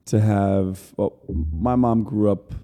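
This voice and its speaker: A man's voice: lowish voice, steady tone, slow, warm tone. A man hosting a podcast interview, talking loosely with rambling questions and frequent restarts. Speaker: podcast host. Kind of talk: casual interview chat.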